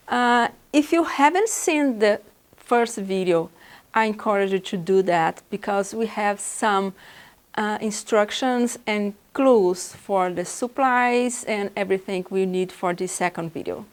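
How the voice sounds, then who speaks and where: A woman from Brazil with a Brazilian Portuguese accent walks through a portrait drawing demonstration. She speaks slowly and unhurriedly, pausing often as she thinks aloud over her sketch, her pitch sitting in a middle range. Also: clear, resonant tone. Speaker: art instructor.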